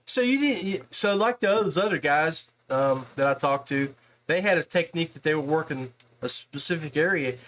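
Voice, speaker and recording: Higher-pitched man hosting a casual podcast. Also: lively intonation, moderate pace, a bit bright. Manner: informal, rambling, joshing. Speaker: podcast host. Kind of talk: casual interview.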